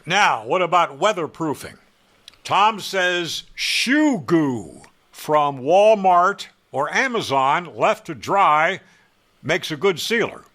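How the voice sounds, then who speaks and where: Wry man with a bright, higher-pitched voice. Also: sing-song, slow pace. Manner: wry, opinionated, emphatic. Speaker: ham radio hobbyist presenting a how-to. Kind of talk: how-to narration with sarcastic asides.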